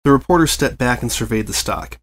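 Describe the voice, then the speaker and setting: A man reading fan fiction as narrator, in an expressive scripted storytelling style. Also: mid-range voice, fairly quick, slight background noise.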